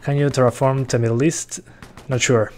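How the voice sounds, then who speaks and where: A man's voice: mid-range voice, moderate pace, slight background noise. Man streaming a shooter. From Uruguay. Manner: relaxed, chatty, reads chat aloud. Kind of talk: live gameplay commentary. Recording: faint gunfire behind voice.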